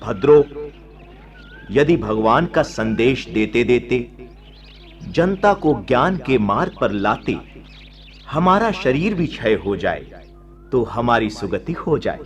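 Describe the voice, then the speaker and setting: An actor playing a serene, resolute monk teacher in a radio play, solemn and declamatory in formal, elevated diction. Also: male voice, mid-range voice, animated, slow, gravelly, pauses often. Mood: devout.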